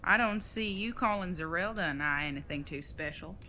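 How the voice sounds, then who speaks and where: A woman's voice: lowish voice, fairly quick, bright, forceful tone, slight background noise. A woman acting in an audio drama as a retired outlaw mother, older, fond and teasing, with a folksy Old West way of talking. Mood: fond scolding.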